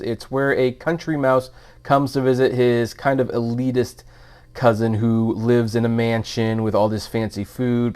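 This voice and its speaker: A man's voice: medium pitch, smooth. A man hosting a film review, talking informally and giving his opinions in a conversational commentary style.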